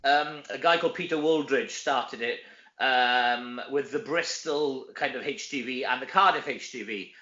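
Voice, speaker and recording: A man's voice: medium pitch, moderate pace, bright, forceful tone. A Welsh man chatting casually as an interview guest, self-deprecating and anecdotal, peppering his talk with conversational tags. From Wales. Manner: chatty, self-deprecating, often tags sentences with "you know". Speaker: actor and comedy performer, interview guest. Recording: roomy echo, dull lo-fi sound.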